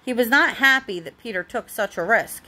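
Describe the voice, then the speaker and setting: A woman's voice: fairly high pitch, animated, normal speed, bright, forceful tone, low-quality recording. A woman reading a storybook aloud expressively to a young child, as a parent does at bedtime.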